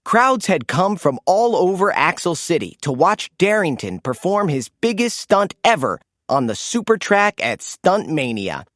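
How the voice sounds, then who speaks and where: Slow, very animated storybook narrator. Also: medium pitch, no room sound, studio quality. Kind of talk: animated children's read-aloud narration.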